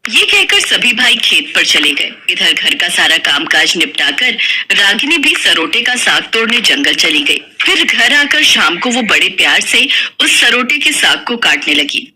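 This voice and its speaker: A woman's voice: medium pitch, very animated, measured pace, pushed and bright, a little hiss or hum, low-quality recording. A woman narrating a folk tale from a script as a storyteller.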